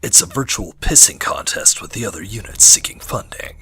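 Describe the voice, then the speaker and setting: Man voicing a gruff sarcastic soldier, forceful edge, breathy deadpan growl, lively swings, music behind, parody acting. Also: fairly low pitch, a little fast, whispered, exaggerated voice. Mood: sardonic. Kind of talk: comedic voice acting in a parody dub.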